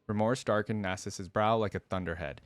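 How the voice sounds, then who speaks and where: A man's voice: on the low side, a little fast, no room sound. A man reading fantasy lore aloud as the host of a reaction channel, with casual, thoughtful commentary.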